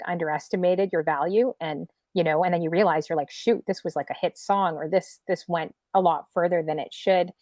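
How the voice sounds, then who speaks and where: A woman from Toronto with a fairly low voice hosts a relaxed talk show. Her questions come out chatty and loose, with plenty of fillers, and the close mic keeps the sound dry. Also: no room sound. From Toronto, Canada. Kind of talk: casual interview chat.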